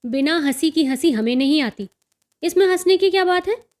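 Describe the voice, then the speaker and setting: A woman voicing a sulky, easily offended young daughter-in-law in a story, answering in sharp, curt retorts. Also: high-pitched, lively intonation, moderate pace. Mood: irritated.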